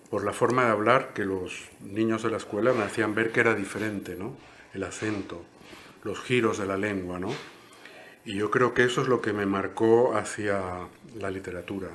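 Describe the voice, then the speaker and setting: A man's voice: lowish voice, fairly bright tone, a little hiss or hum. A man in his sixties from Cuba, answering interview questions thoughtfully and often ending phrases with a questioning tag. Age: 60s. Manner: thoughtful, frequent "¿no?" tags. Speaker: interview guest, poet.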